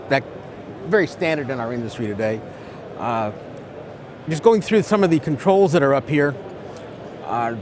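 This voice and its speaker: A man in his sixties giving an informal, halting product demonstration, explaining as he goes and repeating words. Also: a little high. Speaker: product demonstrator at a trade show. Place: large indoor exhibition hall. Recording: busy trade-show crowd noise behind. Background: crowd chatter.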